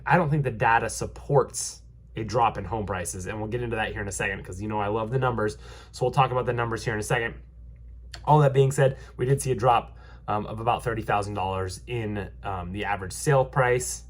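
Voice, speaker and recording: A man's voice: fairly low pitch, fairly quick. A young man with a stuffy nose from a cold, giving a casual market update as a realtor, rambling with lots of ums and restarts. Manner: rambling, many ums and restarts, upbeat reassuring. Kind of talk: casual vlog market commentary.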